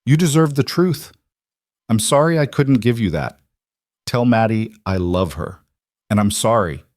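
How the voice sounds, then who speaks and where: A man reading an audiobook voices a gentle, earnest woman full of guilt, comforting a child. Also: on the low side, expressive, a little slow, no echo. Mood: remorseful.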